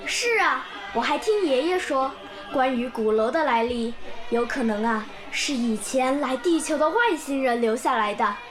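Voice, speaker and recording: An actress voicing a bright, curious young village girl who tells stories eagerly, in a radio drama. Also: high-pitched, moderate pace. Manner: lively, eager storytelling. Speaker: pupil. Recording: background music under the scene.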